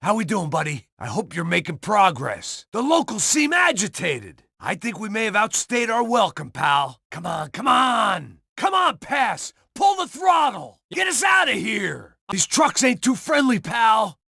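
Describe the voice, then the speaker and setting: An actor playing a tough middle-aged male bodyguard, shouting urgent, impatient commands during a chase. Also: high voice, huge pitch swings, measured pace, vocal fry, hardly any pauses, slightly rough, no echo, clean studio sound, full character voice. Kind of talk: video game combat barks.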